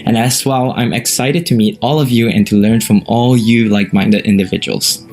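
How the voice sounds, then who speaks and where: A man's voice: lowish voice, normal speed, darkish tone. A man, a student competitor, reading an earnest self-introduction. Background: background music.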